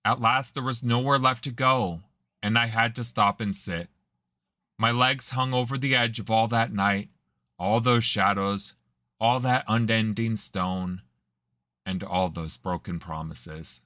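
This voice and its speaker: A hesitant young man telling a painful memory by a fire in an audio drama, subdued and halting, as a man puts him on. The voice sits low but rings bright and forceful. It is recorded close and dry. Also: dry recording. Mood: wistful.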